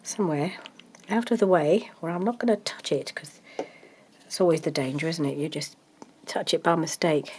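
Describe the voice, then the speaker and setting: A woman's voice: deep voice, moderate pace, darkish tone, a little hiss or hum. A woman, chatting casually about her crafting project, congested from a cold and rambling with many ums. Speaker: craft vlogger.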